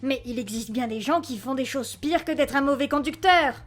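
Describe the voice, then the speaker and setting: A woman's voice: high-pitched, moderate pace. A woman voicing a polite, anxious male demon hitman's underling, deferential but exasperated, in an amateur cartoon fan dub. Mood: reasoning. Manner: deferential, earnest, fussy, exasperated.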